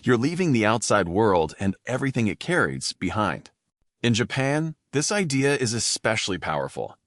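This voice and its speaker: Lively low-voiced man teaching vocabulary. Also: moderate pace, no echo, clean studio sound. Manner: explains and defines vocabulary words plainly. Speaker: podcast host. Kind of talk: scripted educational podcast narration.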